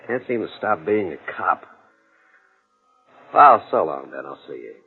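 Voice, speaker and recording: An actor playing a lonely, wistful veteran policeman in an old radio drama, speaking in a low-key, halting, husky voice. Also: sing-song, exaggerated voice. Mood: weary, wistful. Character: lonely, weary veteran male cop, friendly and wistful. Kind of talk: 1940s-50s radio drama acting. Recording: muffled narrow-band old radio recording.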